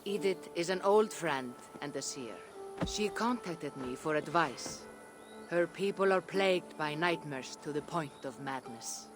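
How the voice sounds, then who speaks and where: Solemn Norse seer over music. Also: female voice, sits low, animated. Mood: familiar, explaining. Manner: solemn, deliberate. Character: grave, mystical female Norse seer, quietly insistent. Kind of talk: video game character acting. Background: game music.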